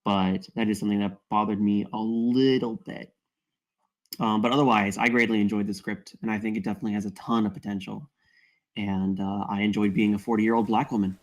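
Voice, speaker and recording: A man giving wordy, measured feedback in a casual group discussion. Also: lowish voice, little pitch movement. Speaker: table read participant giving feedback.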